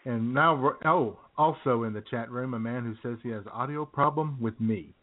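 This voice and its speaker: A man co-hosting a casual internet radio show, joking in deadpan asides and laughing at his own lines. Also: medium pitch, normal speed. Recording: tinny phone-line internet radio audio.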